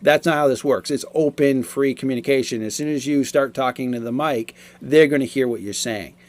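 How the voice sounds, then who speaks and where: A man in his forties giving a casual, explanatory product review, talking in a halting, repetitive way. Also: mid-range voice, a little mellow.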